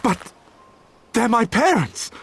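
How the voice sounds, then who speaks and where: An actor playing a frightened, desperate villager in a video game, pleading and fearful. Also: male voice, very high voice, sing-song, slow, vocal fry, soft background music, full character voice. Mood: anguished pleading. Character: frightened, desperate male villager submitting to tyrant. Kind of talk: dramatic video game cutscene acting.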